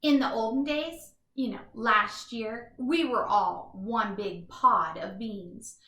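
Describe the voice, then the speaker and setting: A wistful, self-deprecating little bean misfit played by a woman reading aloud tells a storybook tale. The voice is high and bright, with expressive exclamations, in an echoey room. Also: slow.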